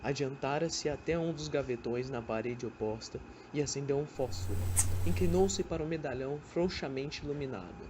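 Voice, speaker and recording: A woman's voice: very deep voice, moderate pace. A woman reading scripted dramatic narration as the narrator of a student radio drama. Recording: dull amateur recording with faint noise.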